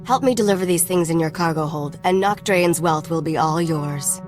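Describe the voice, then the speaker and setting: Woman voicing shrewd young game smuggler, lowish voice, cool, self-assured, music behind. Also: moderate pace, natural voice. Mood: persuasive. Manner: cool, wry. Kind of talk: video game voice acting.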